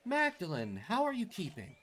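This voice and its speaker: A man's voice: high voice, wildly swooping pitch, moderate pace, fairly bright tone, voice pushed up, heavily acted. A man voicing a kindly, concerned villager in game dialogue, solicitous and a little hesitant. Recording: clearly noisy background.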